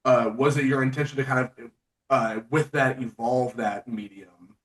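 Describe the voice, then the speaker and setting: A man's voice: mid-range voice, moderate pace, fairly bright tone. A man chatting casually as a podcast interviewer, asking questions and sharing his own remarks. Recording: distant from the microphone.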